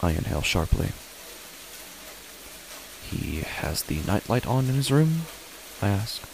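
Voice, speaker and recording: A man playing an uneasy father who narrates his own horror story in a halting, brooding way. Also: fairly low pitch, very animated, creaky, noisy background, full character voice. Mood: anxious. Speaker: narrator and lead character of a horror audio drama. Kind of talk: first-person horror audio drama narration.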